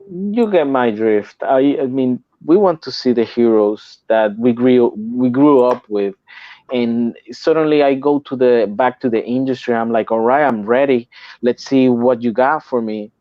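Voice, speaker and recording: Casting: Puerto Rican man, mid-pitch, halting repeats, slightly muffled dry recording. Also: no room sound. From Puerto Rico. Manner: repeats and restarts words, animated when making a point. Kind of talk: casual podcast chat.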